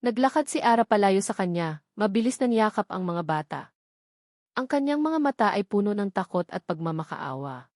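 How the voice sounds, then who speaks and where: A woman's voice: mid-range voice, animated, moderate pace, darkish tone, no room sound. Woman narrating a romance story, dry close sound.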